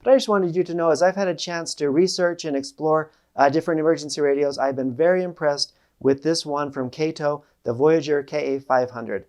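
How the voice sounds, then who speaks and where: A man's voice: fairly high pitch, brisk, hardly any pauses, darkish tone. A man hosting a casual product review, talking to viewers in a plain-spoken, explanatory way. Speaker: host of a preparedness product review channel.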